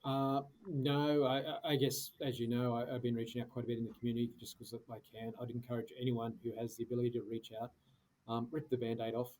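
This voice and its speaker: Fast-talking man, hesitant. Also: medium pitch, flat delivery, darkish tone, rough recording. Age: middle-aged. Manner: fast, hesitant, many fillers and restarts. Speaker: guest, security executive. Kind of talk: casual podcast interview.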